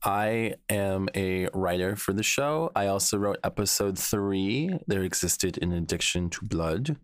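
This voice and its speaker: A man's voice: low-pitched, lively intonation, unhurried, no room sound. A man co-hosting a podcast in casual chat, tossing off jokey, dry remarks. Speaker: podcast co-host and writer.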